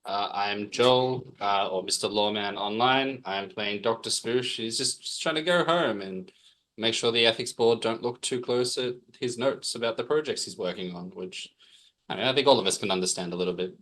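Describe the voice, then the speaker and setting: A man who plays tabletop games, introducing himself casually in a low-key, wry way. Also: moderate pace, fairly bright tone. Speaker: tabletop game player.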